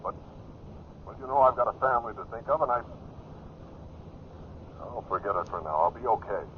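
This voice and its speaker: A man's voice: on the low side, a little fast, natural voice. Man acting a cool, dismissive radio-drama lover, tinny. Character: cool, dismissive, calculating male former lover. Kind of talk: golden age radio mystery acting. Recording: scratchy, narrow-band old radio recording.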